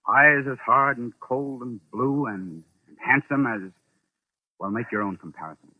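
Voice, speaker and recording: An actor playing a shrewd, sardonic amateur detective in a 1940s radio drama, probing and articulate with dry irony. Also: male voice, mid-range voice, a little slow, close to an everyday voice. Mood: cool, sardonic. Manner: probing, dryly ironic, articulate. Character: shrewd, sardonic amateur detective confronting a guilty young woman. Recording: muffled, band-limited vintage radio recording.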